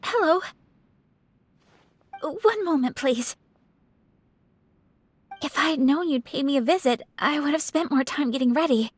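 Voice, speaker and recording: A woman voicing a refined, gentle young noblewoman in a video game, speaking with formal courtesy and shy affection. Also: high-pitched, a little slow, long pauses, no room sound. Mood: polite welcome. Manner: formal, polite, softly hesitant phrasing. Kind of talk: scripted video game character voice acting.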